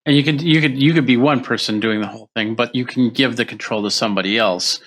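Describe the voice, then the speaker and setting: A man's voice: mid-range voice, fast talker, fairly bright tone. A man hosting an interview, asking casual, curious questions while searching for words. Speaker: host.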